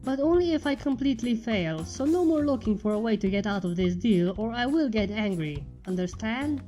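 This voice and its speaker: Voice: mid-range voice, lively intonation, warm tone, faint music behind, natural voice. A reader doing a blunt pony speaking broken words. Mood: firm. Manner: halting broken speech, terse and demanding. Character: blunt young female pony outsider.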